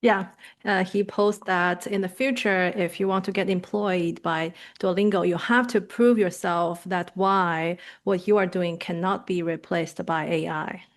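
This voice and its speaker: A woman whose first language is Chinese, hosting an interview in a quick, friendly way with frequent agreeing murmurs. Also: lowish voice, steady tone. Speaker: podcast host.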